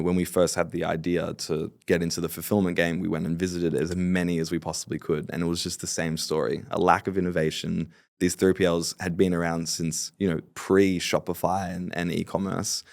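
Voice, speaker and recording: Guy in his late 20s, podcast guest, upbeat, deep rough voice. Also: darkish tone, dry recording, clean studio sound. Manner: confident, agreeable, upbeat about business. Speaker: podcast guest, fulfillment company founder. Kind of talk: casual interview talk.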